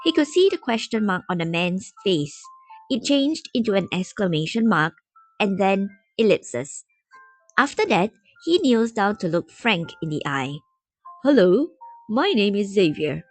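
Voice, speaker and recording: A woman reading a story aloud as an expressive storybook narrator. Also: mid-range voice, measured pace, soft background music. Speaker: narrator, fan story reader.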